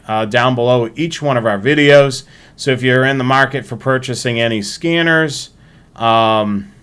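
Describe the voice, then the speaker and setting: A man hosting a livestream, talking informally through announcements in a halting way and repeating himself. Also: mid-range voice, fairly bright tone, smooth. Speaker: livestream host. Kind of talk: casual livestream sign-off and announcements.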